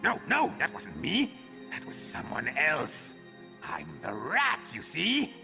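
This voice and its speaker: An actor playing a mad sewer-dwelling beggar who rambles erratically in a video game. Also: male voice, a little high, wildly swooping pitch, slow, vocal fry, full character voice. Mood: agitated, evasive. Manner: rambling, erratic, talks of himself in third person. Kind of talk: video game character acting. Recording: background music behind the voice.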